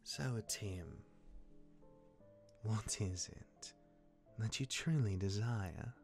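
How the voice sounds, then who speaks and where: An actor playing a cocky, jaded demigod of misfortune mentoring his younger brother in a fantasy drama, smooth-talking and wry, with dramatic turns. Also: fairly low pitch, very slow, faint music behind, full character voice. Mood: hushed, intense. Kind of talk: character acting in fantasy audio drama.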